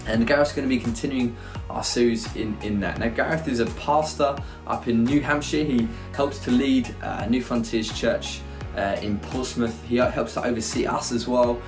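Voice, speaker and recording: An upbeat man leads a church and runs through its announcements, urging people to come along. He talks in a roomy space. Guitar music plays clearly behind him. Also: mid-range voice, steady tone, moderate pace. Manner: upbeat, encouraging, many fillers. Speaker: church leader giving announcements.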